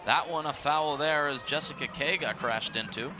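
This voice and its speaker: Higher-pitched male play-by-play announcer, noisy stadium. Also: normal speed. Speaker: play-by-play sports commentator. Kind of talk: live sports commentary. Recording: noisy live stadium broadcast. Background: stadium ambience.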